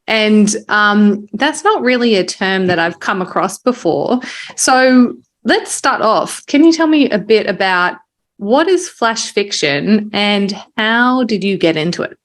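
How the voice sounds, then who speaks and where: A woman's voice: medium pitch, expressive, measured pace. A woman hosting a podcast, introducing the show and chatting with a guest in an interview. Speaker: podcast host.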